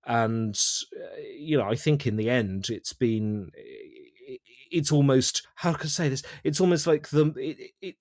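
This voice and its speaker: A man chatting as an interview guest about his creative work, thinking aloud haltingly and often repeating words. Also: medium pitch, sing-song, darkish tone, no room sound, clean studio sound. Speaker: audio drama writer-director, interview guest. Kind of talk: casual interview chat.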